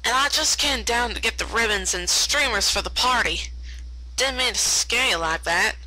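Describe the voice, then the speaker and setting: A woman's voice: on the high side, normal speed, sharp tone, low-quality recording. A woman voicing a flustered, folksy female farm pony, with stammering country phrasing, in a story reading. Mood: apologetic.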